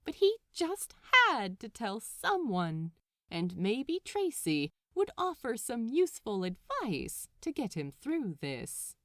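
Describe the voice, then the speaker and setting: A woman narrates fiction in an expressive audiobook style. She takes her time, with lively rises and falls in a mid-pitched voice, and the sound is dry. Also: takes it slowly, no room sound.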